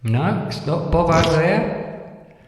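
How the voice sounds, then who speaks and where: A man's voice: a little high, expressive, takes it slowly. Scolding, fed-up Christmas ghost, man acting, comic panto tone, huge echoing reverb. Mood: exasperated. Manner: exasperated, scolding. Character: impatient, exasperated Christmas ghost guiding Scrooge. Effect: heavy reverb. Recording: cavernous long echoing reverb.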